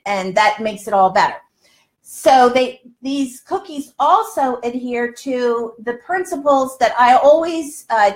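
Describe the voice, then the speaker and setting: A woman in her sixties chatting and explaining in a folksy, emphatic way as host of a live cooking show, recorded in a home kitchen. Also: fairly high pitch. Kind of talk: chatty instructional livestream.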